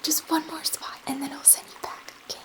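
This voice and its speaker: A woman playing a gentle, awed alien scientist in a whispered ASMR sci-fi roleplay, speaking tenderly and reassuringly with halting pauses in a soft, breathy voice. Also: sits high, level tone, lightly acted, rough recording. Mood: excited, reassuring.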